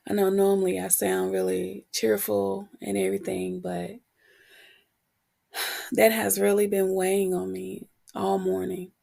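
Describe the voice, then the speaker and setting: A woman podcast host talking reflectively and candidly on her own, her voice husky and prone to cracking as she gets over a cold. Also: mid-range voice, monotone, mellow. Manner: candid, unhurried, pausing between short phrases. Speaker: podcast host and motivational coach. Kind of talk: reflective solo podcast talk.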